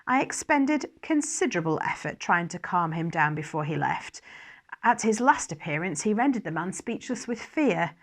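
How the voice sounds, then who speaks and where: A woman reading scripted first-person narration aloud in an expressive storytelling style. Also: lowish voice, normal speed. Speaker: story reader.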